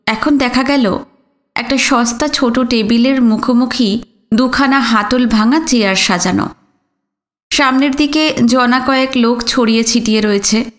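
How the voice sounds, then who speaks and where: A woman's voice: on the high side, measured pace. A woman reading literary fiction aloud as a scripted audio story narration.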